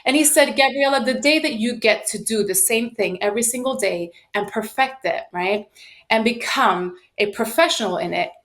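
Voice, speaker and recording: A woman in her late thirties from the United States and Sweden, telling personal stories as an interview guest in an animated, emphatic way. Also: fairly high pitch, moderate pace. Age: late 30s. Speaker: podcast guest, sales professional.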